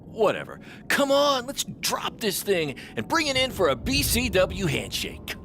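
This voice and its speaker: An actor playing an upbeat, defensive boss of a small wrestling promotion in a video game, reassuring and folksy. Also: male voice, high voice, huge pitch swings, unhurried, pushed and bright, soft background music, exaggerated voice. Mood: coaxing. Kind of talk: video game cutscene acting.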